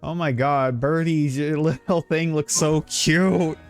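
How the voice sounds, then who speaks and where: A man's voice: fairly high pitch, fairly even intonation, slow pace. A man chatting casually and informally on a game livestream, rambling from one thought to the next. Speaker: livestreamer playing a video game. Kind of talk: casual stream chat. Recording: music playing behind the voice.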